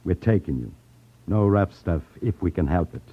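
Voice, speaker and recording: A man's voice: low voice, animated, normal speed, breathy edge. An actor playing a blunt, menacing gangster henchman with curt tough-guy talk in a 1950s radio crime drama. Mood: bossy, menacing. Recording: tinny, narrow 1950s radio recording.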